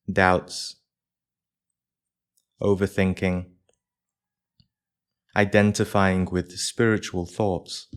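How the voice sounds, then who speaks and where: A man leading a live guided meditation for a group as its teacher, speaking in measured short phrases with pauses between them. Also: low-pitched, clear, resonant tone.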